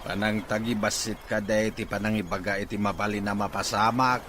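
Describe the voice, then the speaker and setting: A man's voice: lowish voice, fairly even intonation, a little fast, a bit bright, a little hiss or hum, natural voice. A man playing a distrustful, grumbling older father in an Ilocano audio drama. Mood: suspicious. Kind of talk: Ilocano radio-style drama acting.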